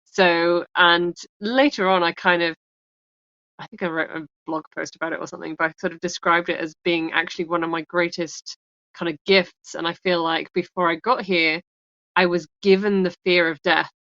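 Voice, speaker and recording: A woman's voice: fairly low pitch, a bit bright, no echo, highs cut off. A woman author talking candidly in an interview, rambling, restarting her sentences and laughing often. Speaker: author guest.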